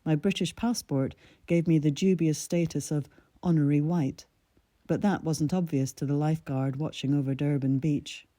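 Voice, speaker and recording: Very dark-voiced woman in her sixties. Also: low voice, dry recording, studio quality. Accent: mid-Atlantic with a Scottish lilt. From Scotland. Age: 60s. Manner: measured, reflective storytelling. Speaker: narrator of her own essay. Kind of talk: scripted personal essay reading.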